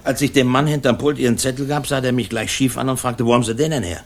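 An actor playing a plain-spoken, chatty uncle who talks in a colloquial, anecdotal way in a crime radio drama. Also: male voice, mid-range voice, steady tone, fast talker, lightly acted. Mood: intrigued recounting.

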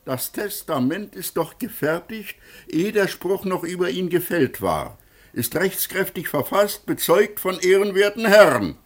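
A formal official in a theatrical radio play. His voice sits fairly high and moves in broad sweeps. He declaims with pompous, measured authority. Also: sing-song, exaggerated voice. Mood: stern. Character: stern, formal male magistrate, pompous and measured.

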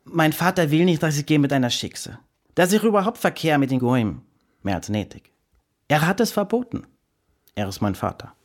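An actor playing an anguished butcher's son who fears his father, in a radio drama, speaking haltingly with inverted word order and a gravelly, husky voice. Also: on the high side, big pitch swings, no echo, clean studio sound. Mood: anguished confession. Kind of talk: radio drama, confessional narration.

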